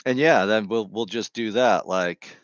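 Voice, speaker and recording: A man's voice: fairly low pitch, measured pace, no echo, muffled recording. A man with a New England accent chatting casually and rambling off on tangents while he gardens. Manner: chatty, digressive, self-deprecating. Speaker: gardening streamer.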